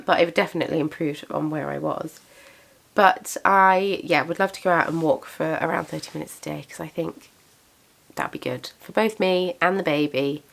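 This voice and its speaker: A woman's voice: low-pitched, vocal fry. A young woman chatting casually to camera about her craft business and plans, self-deprecating and a little congested from a cold. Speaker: craft business owner and vlogger.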